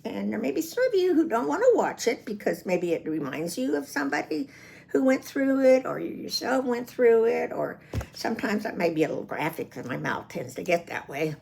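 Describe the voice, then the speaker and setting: Casting: American woman, seventies, chatty video diarist with a gravelly edge and lively, swinging intonation. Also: on the high side, a little fast. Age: 70s. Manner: chatty, rambling, prone to chuckling. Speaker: vlogger. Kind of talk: casual personal video diary.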